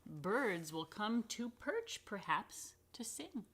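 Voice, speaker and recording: A woman reading a picture book aloud to children, expressively and unhurriedly, with dramatic pauses and chatty asides. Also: mid-range voice, sing-song, a bit bright, slight background noise. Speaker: rabbi reading a children's story. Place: indoors.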